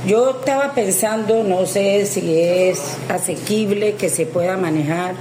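A woman's voice: medium pitch, unhurried. A woman who works in local tourism, talking at length in a rambling news interview, often restarting her sentences. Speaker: tourism service provider. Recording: echoey with noise.

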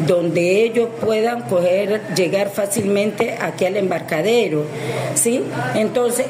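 A woman who works in local tourism, talking at length in a rambling news interview, often restarting her sentences. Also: medium pitch. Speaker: tourism service provider. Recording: echoey with noise.

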